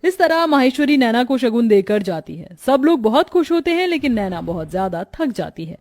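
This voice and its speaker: High-pitched female story narrator. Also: sing-song, moderate pace, darkish tone, no room sound, clean studio sound. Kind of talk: scripted cartoon story narration.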